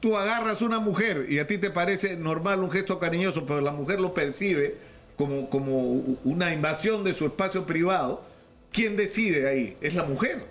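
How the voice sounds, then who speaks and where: A man's voice: high voice, a bit bright, soft background music. A man with a Peruvian accent interviewing on radio, stumbling and repeating words as he builds his argument. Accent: Peruvian Spanish. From Peru. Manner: halting, repeats words, argues his point.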